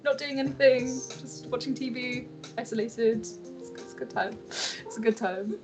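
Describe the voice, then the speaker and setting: A woman's voice: a little high, normal speed, over music. A woman whose mother tongue is Tamil, chatting casually and informally as a singer-songwriter introducing her own songs, with a giggly, like-peppered manner. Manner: informal, giggly, uses "like" often. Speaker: singer-songwriter and producer, festival guest performer.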